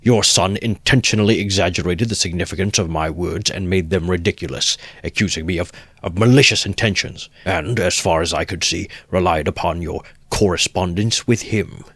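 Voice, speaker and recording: A man's voice: low-pitched, normal speed, few pauses, a bit bright, slightly rough, dry recording, full character voice. A man voicing a pompous, easily offended middle-aged suitor who speaks in a stiff, sententious way. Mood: huffy, pompous. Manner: sententious, aggrieved and stiffly formal. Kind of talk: audiobook character voice.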